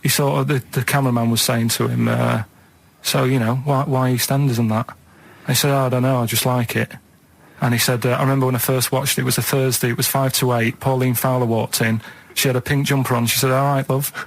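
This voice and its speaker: A man's voice: medium pitch, level tone, a little fast, gravelly, rough recording. A man co-hosting a radio show, telling odd stories in a casual, rambling, hesitant way with tag questions. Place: radio studio.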